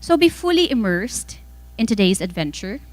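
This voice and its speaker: A woman delivers a prepared welcome address in a medium-pitched voice. Her intonation is lively and moves widely up and down. She phrases each thought deliberately and dramatically, leaving measured pauses between phrases. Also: poor audio. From the Philippines. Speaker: event speaker reading welcome remarks on another's behalf. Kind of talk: scripted speech, inspirational welcome address.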